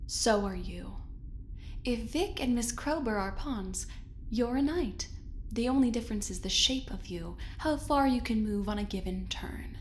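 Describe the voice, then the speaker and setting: A woman playing a condescending, controlling corporate superior in an audio drama, speaking deliberately with drawn-out emphasis. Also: on the high side, expressive, slight background noise. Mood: smug, cutting. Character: smug, controlling corporate woman taunting a subordinate.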